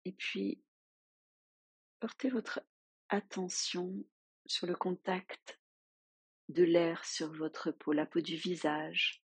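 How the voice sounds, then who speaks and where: Woman, yoga nidra teacher, very slow pace, soft husky tone, soothing guided meditation, long pauses. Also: on the low side, fairly even intonation, dry recording.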